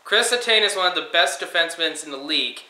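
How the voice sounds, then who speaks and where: A man's voice: a little high, lively intonation, fast, sharp tone. A teenage boy co-hosting a hockey chat, teasing and cutting in with goofy remarks. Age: teens. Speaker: co-host. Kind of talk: casual chat. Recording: echoey room, far from mic.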